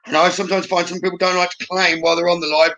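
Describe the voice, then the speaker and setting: Male live-stream psychic host, slightly high, forward bright voice, informal and halting delivery while addressing viewers. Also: little pitch movement.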